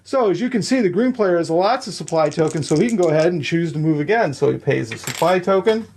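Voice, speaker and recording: A man's voice: on the high side, expressive, quick pace, a little mellow, a little hiss or hum. Man patiently walking through rules. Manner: patient, counting moves aloud, checking in with "okay?". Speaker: explaining game rules at the table. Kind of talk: step-by-step rules walkthrough.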